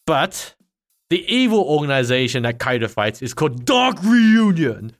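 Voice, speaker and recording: A man's voice: moderate pace, faint music behind. A man hosting a casual podcast, teasing his co-host and laughing often. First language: not Chinese. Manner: teasing, laughs often, lively swings in pitch. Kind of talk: casual chat.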